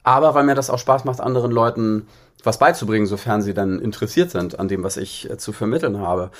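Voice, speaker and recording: A man's voice: lowish voice, quick pace. A man from northern Germany talking conversationally as an interview guest, explaining things in a reflective way. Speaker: interview guest, singer-songwriter.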